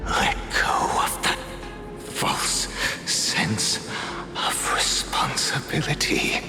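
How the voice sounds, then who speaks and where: Slow, ominous haunting accuser. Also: male voice. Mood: menacing. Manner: ominous, breathy, commanding. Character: haunting, accusing male voice urging surrender to death. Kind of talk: dramatic video game acting. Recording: loud music behind.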